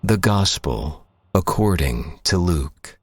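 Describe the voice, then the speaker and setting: Deep, slow male announcer. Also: expressive, husky, dry recording. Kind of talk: formal title announcement.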